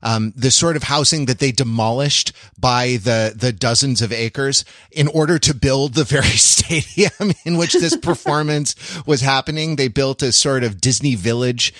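A man's voice: mid-range voice, moderate pace, hardly any pauses, a bit bright, no room sound, studio quality. An American man co-hosting a podcast, telling stories casually in a rambling, wry, erudite manner. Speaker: podcast co-host. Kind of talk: casual conversational anecdote telling.

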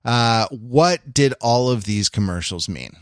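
A man's voice: medium pitch, lively intonation, smooth, no room sound. Man hosting, close dry sound. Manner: halting, repeating and rephrasing phrases as he goes. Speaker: podcast host. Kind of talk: casual conversational podcast hosting.

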